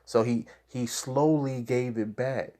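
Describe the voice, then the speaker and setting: A man's voice: mid-range voice, fairly even intonation, takes it slowly, warm tone. A man preaching informally to camera, explaining scripture in a conversational way and checking in with "all right?". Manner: conversational, with repeated "all right?" and "you know".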